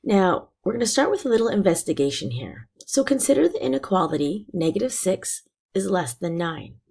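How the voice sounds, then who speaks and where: Raspy-voiced woman teaching a math lesson. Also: mid-range voice, sing-song, fairly quick, a little mellow. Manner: patient, step-by-step explaining. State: hoarse from illness.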